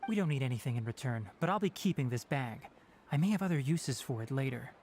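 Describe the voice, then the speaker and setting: An actor playing a calm, analytical young male forest watcher in a video game, measured, precise and businesslike. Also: mid-range voice, lightly acted. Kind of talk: scripted video game dialogue. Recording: game music behind the voice.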